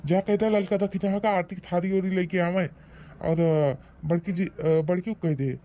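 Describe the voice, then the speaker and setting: A man's voice: high voice, fairly even intonation, normal speed, mellow, natural voice. A man playing a blustering, defensive old village husband in a rural comedy cartoon, scolding and rambling in an Awadhi accent. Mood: bossy. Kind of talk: rustic comedy cartoon acting.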